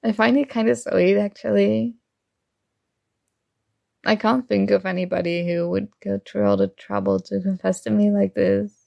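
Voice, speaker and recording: A woman's voice: medium pitch, steady tone, moderate pace, gravelly, darkish tone, dry recording, highs cut off. A woman acting a young roommate who is shy, teasing and smitten with a friend, in a playful, conversational ASMR roleplay. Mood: shy, fond.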